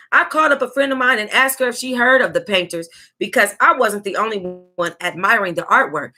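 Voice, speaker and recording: An American woman reading a memoir aloud as a book club host, with sassy, exclamatory asides and drawn-out emphasis. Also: on the high side, pushed and bright.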